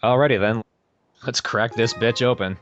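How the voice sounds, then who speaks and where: A man's voice: mid-range voice, normal speed, fairly bright tone, lo-fi sound. A man hosting a let's play, chatting casually and dryly with a co-host and swearing freely. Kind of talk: casual gameplay commentary with a co-host. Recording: game music under the voice. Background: video game soundtrack.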